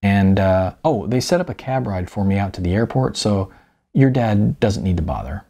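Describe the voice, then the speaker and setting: A man reading the audiobook dialogue of an evasive, awkward aging male journalist, halting and hedging. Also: on the low side, animated, mellow.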